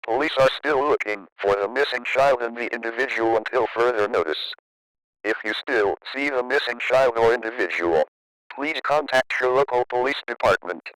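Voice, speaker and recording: A man reading a scripted emergency broadcast as an alert announcer, in a formal, measured way. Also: medium pitch, fairly bright tone, clean tone, no room sound, poor audio.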